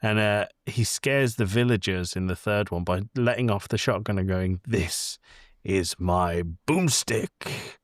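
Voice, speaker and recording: A man with a fairly low voice talks casually as a podcast co-host. He is opinionated and playful, wandering through his thoughts. The sound is dry, with very little room in it. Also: unhurried, no echo. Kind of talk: casual chat.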